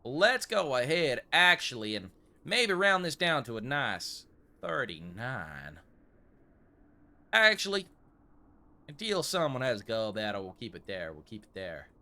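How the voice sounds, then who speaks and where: A man's voice: medium pitch, big pitch swings, unhurried, pushed and bright, exaggerated voice. A man playing a fussy frontier gun shop owner in gameplay commentary, with a put-on American Old West accent and wordy, mock-formal courtesy. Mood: scheming, businesslike. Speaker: gaming video creator.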